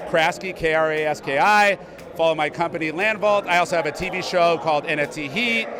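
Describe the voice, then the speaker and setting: A man giving a casual event interview, upbeat and emphatic, often repeating his points. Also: on the high side. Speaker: interview guest. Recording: music playing loudly behind.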